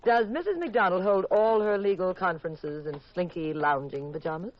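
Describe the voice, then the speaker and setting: Actress as a sardonic detective's wife, playful and arch, muffled old 50s radio show. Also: female voice, lowish voice, moderate pace, natural voice. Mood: teasing, sarcastic. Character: sharp, teasing wife of a detective. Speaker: lead actress and narrator. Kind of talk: 1950s radio detective drama acting. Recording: muffled vintage radio recording.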